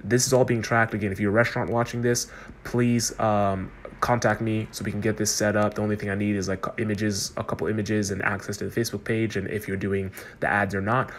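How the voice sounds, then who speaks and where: Guy talking fast through a phone screen demo, lowish voice, bit of hiss behind. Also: little pitch movement. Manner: quick, chatty, loose with restarts. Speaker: consultant demoing a chatbot. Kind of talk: casual screen-recorded walkthrough.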